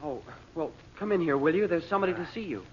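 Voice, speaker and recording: An actor playing a polite, eager young nephew in an old radio serial. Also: male voice, on the high side, animated, fast talker, natural voice. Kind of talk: 1940s radio serial acting. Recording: muffled old radio recording, faint noise.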